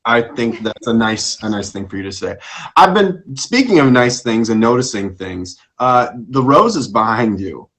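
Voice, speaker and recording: A man's voice: medium pitch, animated, normal speed, fairly bright tone, rough recording. A man hosting a casual interview show, asking questions and joking with his guest. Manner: joking, teasing. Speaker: show host.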